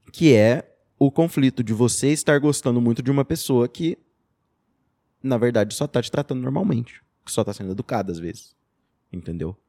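A man's voice: mid-range voice, dark tone, no echo. Young Brazilian man, dry close sound. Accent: Brazilian Portuguese. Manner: loose and talkative, with slang and frequent "tipo" and "sabe". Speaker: podcast co-host. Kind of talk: casual chat.

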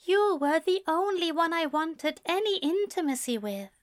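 A woman voicing a gentle, prim male angel in love, speaking softly and carefully with a plaintive, formal manner. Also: very high voice, lively intonation, takes it slowly, dry recording, studio quality, pitched above the performer's own voice, full character voice. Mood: soft, wistful.

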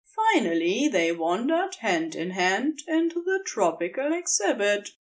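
A woman narrating scripted fiction in an expressive, dramatic storytelling manner. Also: mid-range voice, unhurried.

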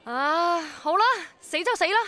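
An actress playing a spirited young woman in a radio drama, with a colloquial, expressive Hong Kong Cantonese delivery. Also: extremely high pitch, a little slow, no room sound, heavily acted. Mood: exasperated. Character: spirited young woman, hesitant but willing rain girl.

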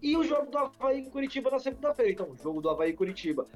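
A man's voice: very high-pitched, sing-song, rapid-fire, soft background music. A young Brazilian man co-hosting a football podcast, chatting in a slangy, anecdote-filled way. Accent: Brazilian Portuguese. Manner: slangy, storytelling banter. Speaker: podcast co-host. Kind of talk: casual sports podcast chat.

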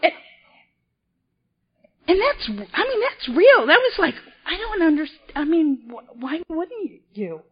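Woman, convention speaker, high voice, restarts often, muffled hall recording. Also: big pitch swings. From South Boston, Massachusetts. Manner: self-deprecating, halting, frequent restarts. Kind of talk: personal recovery story at a podium.

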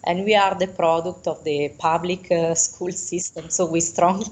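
A middle-aged woman with an Italian accent and a slightly low voice answers in a conversational interview. She explains earnestly, often pausing to find the right word in English, as a native Italian speaker. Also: level tone, darkish tone. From Italy.

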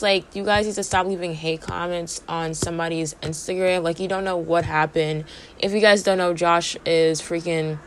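Young woman, gossip podcast host, low-ish voice going hoarse, chatty and filler-heavy. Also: a little hiss or hum. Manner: chatty, fillers like "like" and "you know". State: losing her voice. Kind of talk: casual entertainment gossip commentary.

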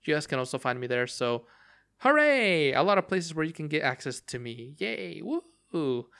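A man's voice: a little high, very animated, normal speed, gravelly, fairly bright tone. A young man hosting a casual solo podcast about a game, rambling with lots of fillers and restarts, his voice strained and cracking from long talking. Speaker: podcast host.

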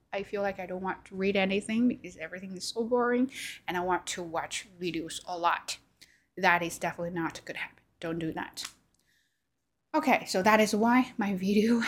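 A young woman whose first language is Chinese, talking solo on her podcast in a reflective, loose way with fillers and self-corrections. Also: medium pitch. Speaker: podcast host. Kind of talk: casual solo talk.

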